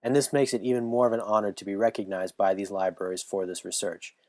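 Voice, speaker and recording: A young man, a history student, giving an earnest, measured scripted narration. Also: on the low side, level tone, a little mellow, dry recording, studio quality. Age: young adult.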